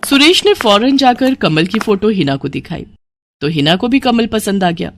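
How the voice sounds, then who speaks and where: A woman's voice: medium pitch, expressive, moderate pace, a little hiss or hum. A woman narrating a scripted moral story as a storyteller.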